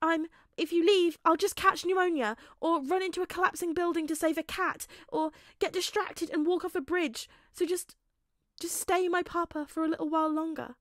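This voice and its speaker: Distraught, devoted teenage son for a story reading, played by a woman: raised pitch, breathless stammering pleas. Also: fairly even intonation, moderate pace, a bit bright, no room sound, clean studio sound. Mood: tearful, coaxing. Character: distraught pleading fourteen-year-old boy, devoted to his father. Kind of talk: audiobook character acting.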